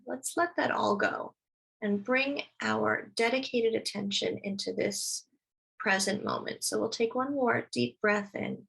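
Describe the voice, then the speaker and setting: A woman leading a guided meditation, speaking slowly and soothingly as she gives gentle instructions. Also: fairly high pitch, vocal fry, slightly rough, low-quality recording.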